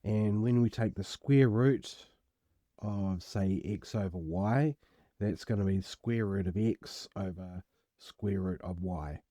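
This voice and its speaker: Croaky low-voiced New maths tutor. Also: male voice, slow, warm tone, no room sound, clean studio sound. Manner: casual, self-deprecating, explains step by step. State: croaky voice from illness. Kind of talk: worked exam walkthrough. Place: home.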